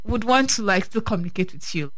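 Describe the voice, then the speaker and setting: A woman's voice: mid-range voice, brisk, a bit bright, no echo. A woman from Nigeria, a student guest in a radio studio, friendly and searching for words.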